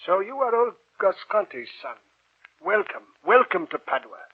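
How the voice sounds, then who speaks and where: A learned older professor in a 1940s radio play, avuncular yet darkly cautioning. The voice is husky and slightly raised, with deliberate, theatrical emphasis. It comes through thin and tinny, like a telephone line. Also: male voice, high voice, animated, full character voice. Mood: hearty, welcoming. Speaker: actor in a radio drama.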